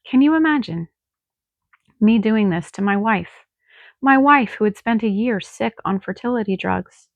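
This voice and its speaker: A woman's voice: medium pitch, lively intonation, unhurried, creaky, no echo, lo-fi sound. A woman narrating a first-person novel as an audiobook, reading expressively and acting out the drama. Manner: dramatic, acts out dialogue. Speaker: author reading own novel.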